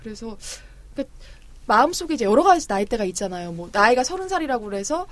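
A woman's voice: a little high, fast talker, no room sound. A woman in her thirties, a guest author, talking in a casual, chatty way in an interview. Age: 30s. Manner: lively, chatty, laughs easily.

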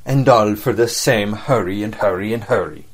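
Man performing scheming ringleader, scornful, lowish voice, emphatic sailor talk, storybook villain. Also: husky. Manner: rustic sailor talk, emphatic, drawn-out delivery. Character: sly, scornful, commanding old pirate sea cook. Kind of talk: audiobook character acting.

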